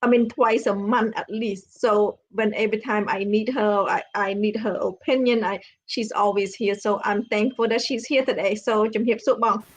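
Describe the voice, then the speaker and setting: A woman's voice: medium pitch, steady tone, normal speed, hardly any pauses, low-quality recording. A woman hosting a casual online interview, friendly with loose, rambling phrasing. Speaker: host.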